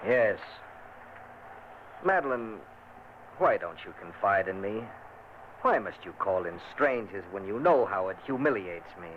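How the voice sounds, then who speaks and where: A man's voice: on the low side, very animated, unhurried, pauses often, muffled recording. An actor playing a domineering lord who threatens his sister, with theatrical delivery in vintage radio drama style. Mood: menacing. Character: domineering, menacing lord, man. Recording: scratchy old radio recording with hiss.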